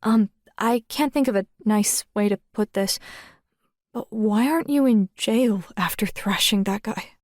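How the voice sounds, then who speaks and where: A woman playing a thoughtful teenage girl who narrates her own story in a measured, reflective audiobook style. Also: mid-range voice, no room sound, studio quality, lightly acted. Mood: reflective, reserved. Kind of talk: first-person audiobook narration.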